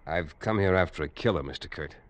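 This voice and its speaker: Male actor for assured, hard-edged American adventurer in a radio play; deep, understated delivery. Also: fairly quick, lightly acted. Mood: businesslike. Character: tough, assured American manhunter narrating his adventure. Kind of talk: first-person radio drama narration and dialogue.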